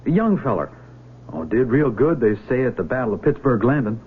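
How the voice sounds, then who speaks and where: A man's voice: mid-range voice, big pitch swings, brisk, slightly rough. A man acting an eager young Union Army volunteer in a 1970s radio drama, with rural American speech and a folksy, enthusiastic manner. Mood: chatty. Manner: folksy, enthusiastic, drawling country talk. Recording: noisy, tinny vintage radio broadcast recording.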